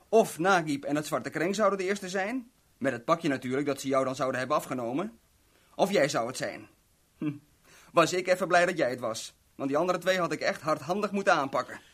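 Cocky, husky small-time crook. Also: male voice, fairly high pitch, animated, fairly bright tone. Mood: chummy, explaining. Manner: mock-friendly, boastful, unhurried storytelling. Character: small-time crook Tony, cocky, chummy, scheming kidnapper. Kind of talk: 1970s Dutch radio drama acting.

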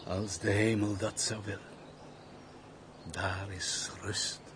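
An actor playing a bitter, curt, reclusive gentleman who shuns people, in a Dutch period radio play. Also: fairly low pitch, level tone, slow pace, slight background noise. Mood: brooding, weary.

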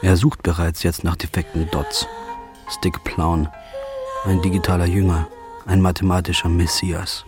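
A man's voice: low voice, huge pitch swings, a little slow, music in the background, heavily acted. An actor playing a haggard, obsessive man searching for the truth, speaking tersely and narrating in a brooding voice-over. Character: haggard male detective, hungover and obsessive. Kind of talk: film-style drama with voice-over narration.

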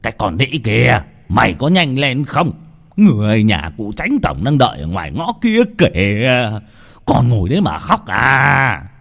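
A man's voice: sits low, big pitch swings, fast, vocal fry, slightly rough, exaggerated voice. A man voicing a cruel, greedy old village father who snarls threats in a told ghost story. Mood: menacing.

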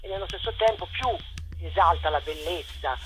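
A woman directing a play, talking in a radio interview in an animated, rambling way full of restarts. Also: on the low side, normal speed, soft background music, poor audio. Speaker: theatre director.

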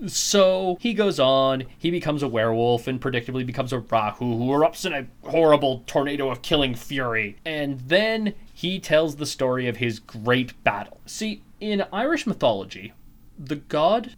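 Sarcastic young man ranting on his podcast. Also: fairly high pitch. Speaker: podcast host. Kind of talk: solo podcast monologue.

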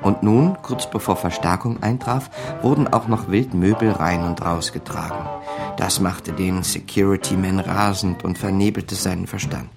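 An actor playing a wily small-time crook who narrates his own story in a radio crime play, with a gravelly voice. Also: deep voice, moderate pace, over music. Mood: wry, storytelling. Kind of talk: scripted first-person narration over music.

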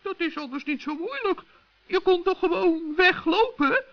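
Little male forest gnome: extremely high, chirpy, kindly, curious, gently scolding; muffled old radio-play sound. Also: animated, normal speed. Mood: eager, inquisitive. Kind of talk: children's radio play acting. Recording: dull old radio recording.

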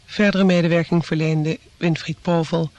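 A man's voice: a little high, fairly even intonation, normal speed, a little mellow. A man announcing the closing credits of a radio play in a scripted, formal read.

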